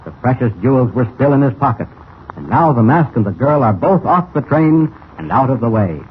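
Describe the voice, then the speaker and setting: A man's voice: medium pitch, big pitch swings, fairly quick, natural voice. A man narrating a radio serial in 1940s announcer style, dramatic and suspenseful. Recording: tinny old radio serial, faint noise.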